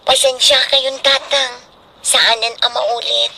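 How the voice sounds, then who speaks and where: An actress with a northern Philippine accent playing a dutiful, gentle young student daughter, respectful and sweet, in a radio drama. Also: female voice, on the high side, little pitch movement, slow, gravelly, slight background noise, exaggerated voice, poor audio. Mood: apologetic.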